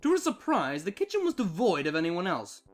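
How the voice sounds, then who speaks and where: A man with a high-pitched voice narrates a story from a script. His delivery is expressive and varied, with music playing underneath. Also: a little fast. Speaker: fan fiction narrator.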